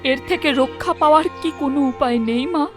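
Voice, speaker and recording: A woman's voice: high-pitched, fast, over music, natural voice. An actress voicing a young new mother who asks her mother-in-law anxious, pleading questions. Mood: worried. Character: frightened young mother, new bride of the house.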